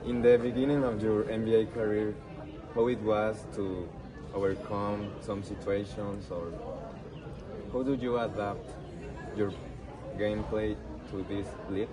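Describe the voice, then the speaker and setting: A male interviewer with a lowish voice, speaking slowly in halting, non-native English. He is some way from the mic, with a busy crowd around. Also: recorded from a distance, rough recording. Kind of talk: question-and-answer interview.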